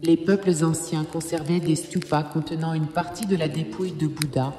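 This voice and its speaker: Mellow, echoing female spiritual guide voiced by an actress. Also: low-pitched, fairly even intonation, natural voice. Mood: solemn, instructive. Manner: measured, didactic. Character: calm, solemn female spiritual guide leading rituals. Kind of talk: solemn instructive dubbed cartoon dialogue. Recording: echoey reverberant hall, music behind.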